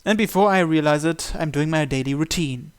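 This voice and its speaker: A man's voice: fairly high pitch, brisk, smooth. A man narrating a visual novel in the first person, reading from the script.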